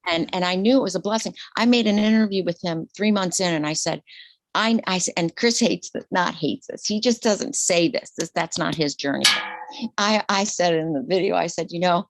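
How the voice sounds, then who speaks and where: A woman in her sixties, a retired nurse, talking openly in an interview, animated and laughing, her voice leaping high when excited. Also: moderate pace, dry recording. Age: 60s. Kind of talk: casual interview conversation.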